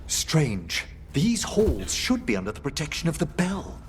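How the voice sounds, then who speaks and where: A man's voice: on the high side, huge pitch swings, unhurried, a little hiss or hum. An actor playing a courteous, scholarly necromancer professor in a video game, a middle-aged man with formal, eloquent speech. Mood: intrigued, concerned. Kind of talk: video game character acting.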